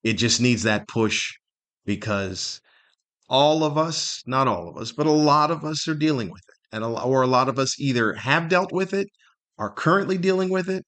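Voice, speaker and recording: A middle-aged man giving a candid, reflective solo podcast monologue in a conversational, thoughtful way, pausing to search for words and repeating himself. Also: mid-range voice, lively intonation, a bit bright. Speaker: podcast host, stand-up comedian.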